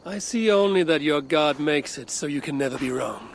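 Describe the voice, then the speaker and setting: A man's voice: a little high, animated, moderate pace, a little hiss or hum. A man playing a stoic, skeptical Viking warrior in a video game cutscene, speaking tersely and matter-of-factly. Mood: firm, skeptical. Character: blunt, skeptical Viking warrior of a raven clan.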